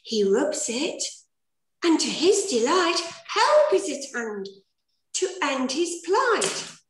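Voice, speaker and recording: A woman's voice: high-pitched, very animated, slow pace. An older woman narrating a pantomime in theatrical, sing-song rhyme on a video call. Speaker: director and rhyming fairy narrator. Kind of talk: scripted rhyming pantomime narration. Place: plain room indoors, video call. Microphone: computer mic on video call. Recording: echoey room on video call.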